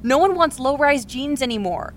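Casual, encouraging thirties best friend, wry, higher female voice, faint rumble behind. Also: animated, normal speed. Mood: wry, teasing. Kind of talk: naturalistic audio drama dialogue.

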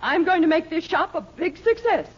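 An actress playing a haughty, bossy, ambitious wife, imperious and sharp-tongued, in a 1940s radio sitcom. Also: female voice, extremely high pitch, very animated, moderate pace. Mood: bossy, indignant. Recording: muffled, hissy 1940s radio recording.

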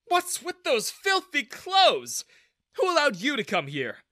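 A man's voice: extremely high pitch, huge pitch swings, unhurried, pushed and bright, no room sound, clean studio sound, pitched above the performer's own voice, heavily acted. A man voicing an arrogant, mocking male bank manager in a narrated story. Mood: haughty, annoyed. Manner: haughty, mocking.

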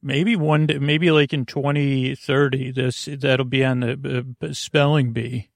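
Male podcast host, middle-pitched, with vocal fry. A halting, tangent-prone rambler with a playful streak, recorded dry and close. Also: steady tone, no room sound. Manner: halting, tangent-prone, many fillers and restarts; playful, muses on words. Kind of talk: rambling late-night podcast intro.

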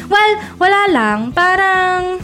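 Lively giggly Filipino woman in her thirties. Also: extremely high pitch, slow, soft background music. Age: 30s. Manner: giggly, code-switching, playful teasing. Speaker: podcast host. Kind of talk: casual chat.

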